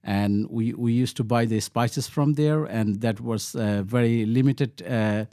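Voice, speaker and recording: A man from Bangladesh, a local councillor, answering interview questions in a halting way with many fillers and restarts. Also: medium pitch, mellow, no echo, studio quality.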